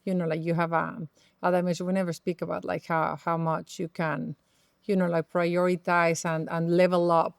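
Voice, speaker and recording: A woman's voice: lowish voice, flat delivery, normal speed, gravelly, clean tone, dry recording, clean studio sound. A woman co-hosting a podcast interview, musing in a chatty, reflective way with plenty of fillers. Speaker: podcast co-host. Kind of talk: casual interview conversation.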